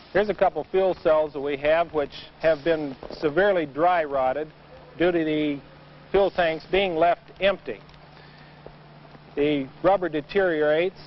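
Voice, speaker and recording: A man explaining things in a matter-of-fact, instructive way as a maintenance expert in a training film. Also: fairly high pitch. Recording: muffled noisy vintage training film soundtrack.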